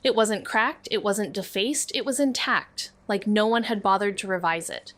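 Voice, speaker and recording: An actress playing a dry-witted amateur sleuth who narrates a neo-noir podcast in a deadpan, sardonic way. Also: female voice, mid-range voice, normal speed, no echo, lightly acted. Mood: brooding narration.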